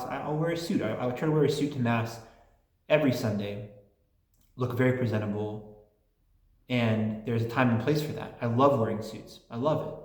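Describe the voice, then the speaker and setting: A man's voice: medium pitch, reverberant. A man hosting his own channel, reading listener letters aloud and answering them in a rambling, chatty way with many restarts. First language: English and Polish. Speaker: channel host answering fan mail.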